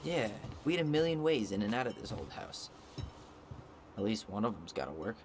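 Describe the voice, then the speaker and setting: A young man with an American accent playing a gentle, sentimental character in a video game cutscene, casual and earnest. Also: on the low side, sing-song, brisk. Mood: casual, practical. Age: young adult. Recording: noisy game stream capture with ambience.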